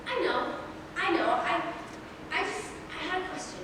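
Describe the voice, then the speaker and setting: A woman playing an earnest, hesitant young princess in a staged play reading, speaking haltingly to keep the peace. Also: very high-pitched, expressive. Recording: echoey hall, distant mic, background noise.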